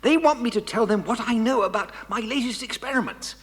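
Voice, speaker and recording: An actor playing an agitated, earnest kidnapped scientist who speaks haltingly in a children's audio drama. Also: mid-range voice, natural voice. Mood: startled, anxious. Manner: halting, stammering. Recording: long reverberant hall sound.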